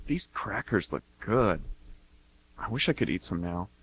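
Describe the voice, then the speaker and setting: A man's voice: low-pitched, animated, measured pace, fairly bright tone, slightly rough, exaggerated voice. A man playing a shy, awkward, stammering young man in an amateur radio comedy. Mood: awkward, pleased. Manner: awkward, stammering, eager to please. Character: shy, flustered young man in bird costume selling candy.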